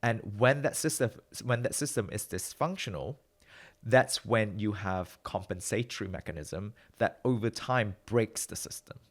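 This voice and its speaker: A man in his thirties from Malaysia, with a Malaysian-British accent that carries Midlands traces, speaking as a guest researcher in a reflective, animated way. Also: fairly low pitch, moderate pace. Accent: Malaysian-British English with Midlands traces. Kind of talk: conversational interview.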